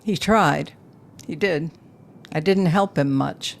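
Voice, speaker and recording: A woman's voice: sits low, slow pace, gravelly, full character voice. An actress playing a self-possessed, grieving businesswoman, telling her story reflectively in an intimate audio play monologue. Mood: somber, regretful.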